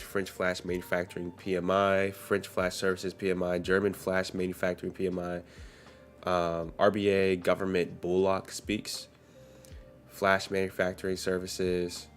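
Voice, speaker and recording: A young man with a low voice talks through a live trading stream while getting over a cold, coughing now and then. His delivery stays level and unhurried as he reads market headlines aloud. Faint music plays underneath. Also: completely flat delivery. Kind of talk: casual live-stream commentary.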